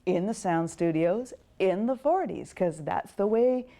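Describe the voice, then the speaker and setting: A woman's voice: medium pitch, animated, unhurried, warm tone, a little hiss or hum. A woman radio theatre performer speaking casually and emphatically in an interview.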